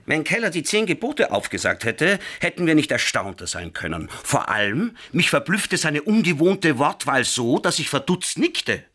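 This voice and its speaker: A wry, talkative former safecracker in a radio crime drama. His voice is bright and fairly high for a man. He tells his tale with very lively swings of pitch. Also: normal speed, few pauses, heavily acted. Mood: wry recounting. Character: chatty male ex-safecracker recounting a night escapade, wry. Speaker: storyteller figure in radio play. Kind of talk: German radio crime play acting.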